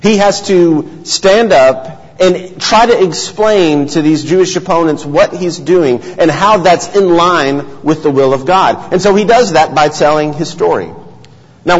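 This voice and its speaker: Male pastor, slightly higher voice, earnest storyteller; dull recording with long church reverb. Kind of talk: sermon.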